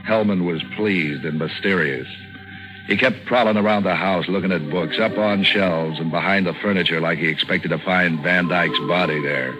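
An American actor playing a cynical, wisecracking private detective in deadpan, sardonic 1940s radio noir style, on an old broadcast recording. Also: male voice, deep voice, moderate pace, music in the background, natural voice, poor audio. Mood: dry, brooding. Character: wisecracking, cynical hard-boiled male hired detective narrating his case. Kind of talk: 1940s radio noir acting, first-person narration.

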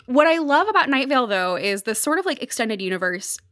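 A woman's voice: high voice, big pitch swings, brisk, fairly bright tone, no room sound, studio quality. A woman speaking conversationally as a podcast guest, explaining things in a thoughtful, articulate way.

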